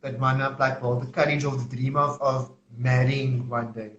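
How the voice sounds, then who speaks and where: A man hosting a live video interview, asking friendly, halting questions. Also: medium pitch, little pitch movement, a bit bright, highs cut off. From South Africa. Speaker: host. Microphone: video call. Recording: echoey video call with faint hum.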